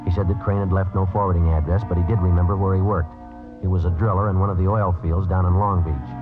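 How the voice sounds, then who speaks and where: An actor playing a matter-of-fact American police detective in an old radio crime drama, terse, deadpan and businesslike. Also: male voice, low voice, quick pace, over music, lightly acted. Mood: deadpan, factual. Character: male police detective, clipped, matter-of-fact, methodical narrator. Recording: tinny old radio recording.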